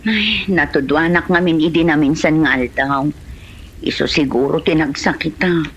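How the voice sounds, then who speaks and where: A woman's voice: low voice, moderate pace, breathy edge, lo-fi sound. A woman acting in an Ilocano comedy drama as an ailing single woman, with a Filipino Ilocano accent. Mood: weary, hesitant.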